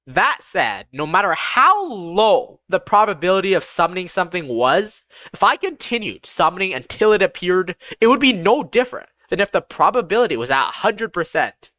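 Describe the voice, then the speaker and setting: A woman's voice: lowish voice, big pitch swings, normal speed, few pauses, a bit bright, no room sound. A woman reading a scripted first-person fantasy audiobook as its narrator.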